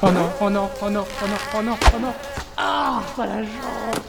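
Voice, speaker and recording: An actor plays a petty, cowardly insect smuggler in an audio drama. The voice is creaky and whiny, set against loud buzzing noise. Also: mid-range voice, normal speed, lightly acted. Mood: panicked.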